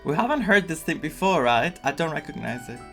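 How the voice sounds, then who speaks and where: A man's voice: on the high side, big pitch swings, brisk, bright, forceful tone, soft background music. A man giving casual, reflective playthrough commentary as a gaming streamer, often searching for his words. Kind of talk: casual playthrough commentary, reading some dialogue aloud.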